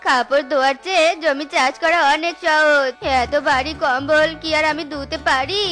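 A bustling tigress auntie from a cartoon , played by a woman. Her high voice grumbles and exclaims, ready to scold, over faint children's music. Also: steady tone, moderate pace, bright, forceful tone. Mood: weary grumbling. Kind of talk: cartoon voice acting.

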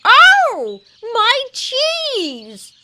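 Shrieky, very high-pitched storybook crow. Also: female voice, wildly swooping pitch, very slow, heavy background noise, exaggerated voice. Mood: dismayed. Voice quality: shrill squawking. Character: vain, gullible female crow in a fable. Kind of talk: children's storybook character acting.

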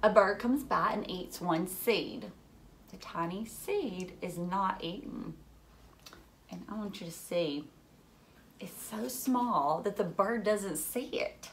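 A woman reads a picture book to young children in an animated storybook style. Her delivery is slow and drawn out, with questions along the way. There is clear noise behind her in the room recording. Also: medium pitch. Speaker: teacher reading aloud to children. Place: furnished living room at home, indoors.

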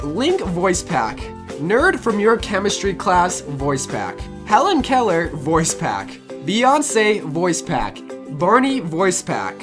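A woman hosts a casual online video with a chatty, scripted comic delivery. Her pitch moves around in a lively way at a middle height for a woman. Music plays clearly behind her voice. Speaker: video creator and host. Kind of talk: casual scripted list narration with comic asides. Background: background music.

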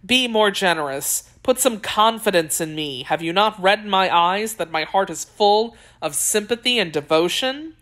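A woman's voice: mid-range voice, expressive, moderate pace, hardly any pauses, a bit bright, pitched above the performer's own voice, close to an everyday voice. Woman reading as an ardent, courtly young gallant. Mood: insistent. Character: ardent, jealous, persistent gallant young man under twenty.

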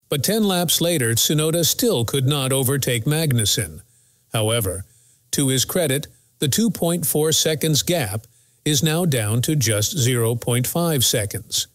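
A man's voice: mid-range voice, expressive, unhurried, no echo. Man narrating scripted motorsport recap, dry recording. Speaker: narrator of a motorsport news channel.

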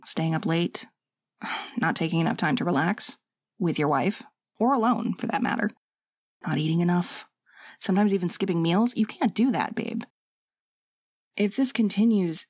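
A woman's voice: mid-range voice, darkish tone, husky, dry recording, lightly acted. A woman acting a bossy, loving wife, close and dry. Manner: scolding, teasing, coaxing, conversational. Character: bossy, sassy but loving worried young wife. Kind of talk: intimate ASMR audio roleplay.

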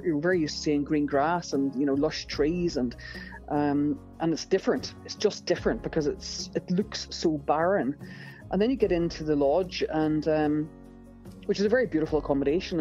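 A woman with a Northern Irish accent speaks as a fishing guide on a podcast. Her voice is dark and mellow, and her telling runs on with fillers and restarts. The sound is a little dull and close, with faint music underneath. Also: low voice, normal speed. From Northern Ireland. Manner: rambling, enthusiastic storytelling with many fillers. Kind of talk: podcast interview guest.

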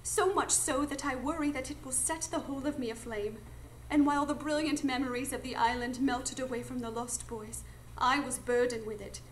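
A woman's voice: sits high, steady tone, normal speed, slight background noise, close to an everyday voice, rough recording. An actress playing an earnest, defiant grown woman with a burdened, impassioned way of speaking in a live radio drama. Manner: impassioned, protesting.

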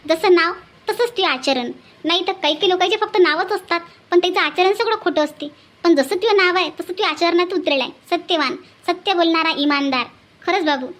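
A very shrill, quick-talking older village auntie from a cartoon played by a woman. She is warm-hearted and gushing, repeating herself insistently in Vidarbha Marathi. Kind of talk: comedy cartoon dialogue.

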